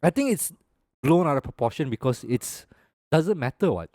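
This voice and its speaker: A young man with a Malaysian English accent co-hosting a casual podcast, talking through his opinions in a relaxed way and ending phrases with "lah". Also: a little high, moderate pace, dry recording, studio quality. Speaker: podcast co-host.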